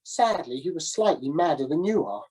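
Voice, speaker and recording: A woman voicing a prim gentleman who talks in an exasperated way. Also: sits low, expressive, moderate pace, breathy edge, poor audio.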